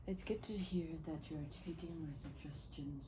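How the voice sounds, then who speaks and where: A woman speaking softly and breathily in an ASMR stylist roleplay, often slipping into a whisper, with a gentle, polite, attentive manner. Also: low voice, moderate pace, background noise. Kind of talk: soft-spoken ASMR roleplay.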